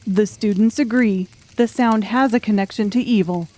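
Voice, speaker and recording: A woman's voice: mid-range voice, normal speed, a little mellow. A woman narrating a dramatic audiobook story from a script.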